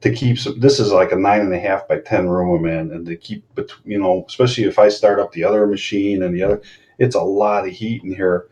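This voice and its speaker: A man's voice: low-pitched, a little fast, a little mellow. A middle-aged man from Chicago with a Chicago accent, calling in over voice chat and chatting in a rambling way, full of restarts. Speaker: caller on a voice chat. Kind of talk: casual chat. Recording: muffled voice-chat audio.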